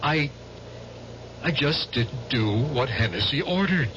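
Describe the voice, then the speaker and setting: A man's voice: medium pitch, expressive, takes it slowly. An actor playing a nervous, guilty male war veteran who stammers and dodges questions, in 1970s radio drama style. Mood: evasive. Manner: evasive, stammering. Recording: dull old radio broadcast with loud hiss.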